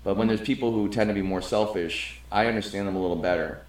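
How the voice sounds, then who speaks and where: A middle-aged man with a lowish voice, co-hosting a podcast in a loose, rambling way. He restarts his sentences often as he talks earnestly. The room around him has a noticeable echo. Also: steady tone, fast talker. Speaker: podcast co-host. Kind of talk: casual conversation. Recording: roomy, echoey sound.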